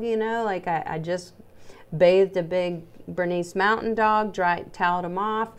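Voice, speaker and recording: A middle-aged woman presents a casual how-to vlog in a slightly low-pitched voice. She is chatty and emphatic, and she addresses her viewers directly. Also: clear, resonant tone. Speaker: dog groomer presenting salon setup.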